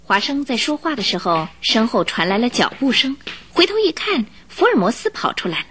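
A woman narrating a radio drama in an expressive, dramatic storytelling style. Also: on the high side, fairly quick, slight background noise. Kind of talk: scripted Mandarin storytelling narration. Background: music.

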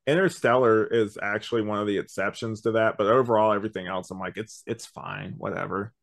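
A man's voice: on the low side, steady tone, brisk, gravelly. A man co-hosting a podcast, giving rambling, slangy opinions in a casual review chat. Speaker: podcast co-host.

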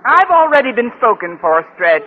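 An actress playing a wry, quick-witted high school teacher in an old radio comedy, tossing out dry wisecracks. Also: female voice, sing-song, phone-quality sound. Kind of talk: 1940s-50s radio comedy acting. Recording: slightly noisy old radio recording.

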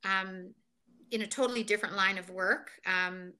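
A woman's voice: medium pitch, steady tone, moderate pace, sharp tone. A woman from Kenya, a guest in a conversational interview, telling her story reflectively with many fillers.

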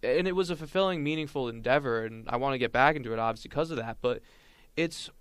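Smooth-voiced young man musing earnestly. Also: medium pitch, quick pace, a bit bright, no echo. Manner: earnest, reflective, speaks in long winding sentences. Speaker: podcast host. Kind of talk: casual chat.

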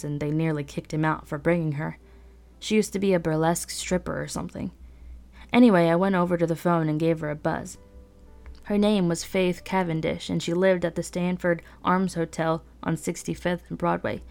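A woman's voice: on the low side, a little mellow. A woman hosting a podcast, reading a novel aloud in first person with a conversational, wry storytelling manner. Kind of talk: first-person audiobook narration.

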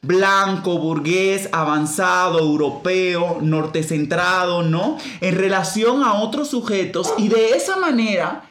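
A woman from the Dominican Republic with a Dominican accent, hosting a reflective discussion. She thinks out loud, restarts often and leans hard on key words. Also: lowish voice, takes it slowly, few pauses, reverberant, a little hiss or hum. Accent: Dominican Spanish. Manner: thinks aloud with frequent restarts and emphatic stress. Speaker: podcast host.

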